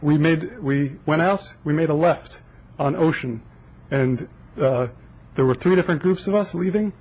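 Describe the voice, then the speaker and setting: A man's voice: on the high side, moderate pace, darkish tone, slightly rough, poor audio. A young man making an insistent public comment at a city council meeting, listing facts into a podium microphone in a council chamber. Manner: earnest, insistent, lists facts.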